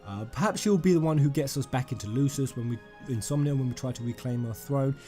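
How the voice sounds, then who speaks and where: A man hosting a fan theory video, rambling and emphatic, addressing his viewers directly in an excited commentary style. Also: mid-range voice, fast talker. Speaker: gaming YouTuber, host. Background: music under the voice.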